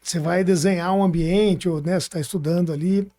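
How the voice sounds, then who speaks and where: A man's voice: high-pitched, fairly even intonation, a little fast, mellow, dry recording, studio quality. A man with a Brazilian Portuguese accent chatting informally as a podcast host, thinking aloud as he goes. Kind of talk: casual podcast conversation.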